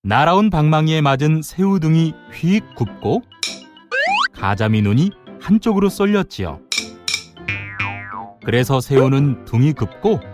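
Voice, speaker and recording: A man narrating a children's folktale in an animated, dramatic way with playful sound words. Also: on the high side, a little slow, pauses often. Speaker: storyteller, narrator. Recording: music behind the voice.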